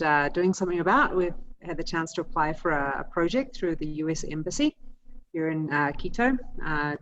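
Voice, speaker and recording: A woman with a New Zealand accent speaks in a low voice, earnest and reflective as she pauses to find her words. She talks informally as a student ministry worker. The sound comes through a dull, slightly muffled video-call line.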